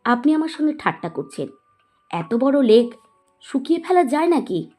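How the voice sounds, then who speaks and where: A woman's voice: high voice, big pitch swings, moderate pace, darkish tone, faint music behind. A woman playing a curious, sceptical young history student in an audio story, questioning and wide-eyed. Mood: disbelieving. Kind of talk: dramatised audio story acting.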